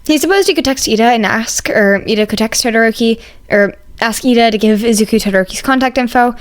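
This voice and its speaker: A woman's voice: fairly high pitch, quick pace. A woman reading fan fiction aloud as scripted story narration.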